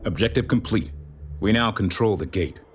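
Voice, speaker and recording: An actor playing a calm, authoritative fleet intelligence officer in a sci-fi military game, speaking in clipped, formal briefings. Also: male voice, fairly low pitch, normal speed, background noise, lightly acted. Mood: measured, serious. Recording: game audio under loud music. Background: loud music.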